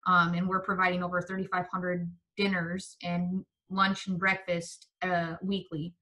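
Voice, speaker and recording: Woman giving a work update on a call, bright voice, kinda flat delivery. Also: lowish voice, measured pace, low-quality recording. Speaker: nonprofit representative. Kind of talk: informational update in a work meeting. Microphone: remote conference call.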